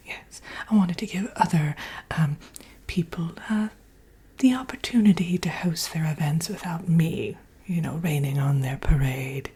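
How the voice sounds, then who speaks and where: A sly, self-admiring wicked witch, acted out by a woman murmurs in a very breathy whisper. The delivery is slow and lowish, coy and theatrical, in the intimate style of an ASMR roleplay. She dotes and boasts as though confiding in an old friend. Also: dark tone, heavily acted. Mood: coy, welcoming.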